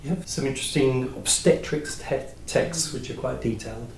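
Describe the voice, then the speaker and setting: A man hosting an interview, putting measured questions to his guests. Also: mid-range voice. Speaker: interviewer, podcast host. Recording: echoey room, faint noise.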